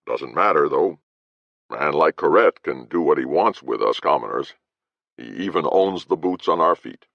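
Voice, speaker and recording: A man's voice: very deep voice, animated, normal speed, creaky, no room sound, full character voice. A man voicing a weary, resigned male jailer who talks plainly. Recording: dry, slightly dull audio.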